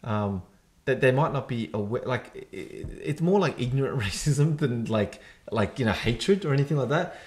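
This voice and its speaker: Rough-edged Western Sydney Aussie man. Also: mid-range voice, normal speed. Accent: Western Sydney Australian. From Australia. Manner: rambling anecdote-telling with lots of fillers and swearing; rough-edged, animated storytelling. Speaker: podcast co-host. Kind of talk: casual chat among friends.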